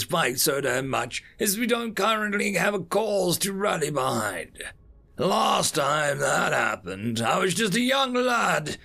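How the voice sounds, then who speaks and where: A man's voice: sits high, gravelly, sharp tone, pitched above the performer's own voice, full character voice. A man voicing a defiant, folksy ninety-five-year-old war veteran in a taunting, colloquial way for a story.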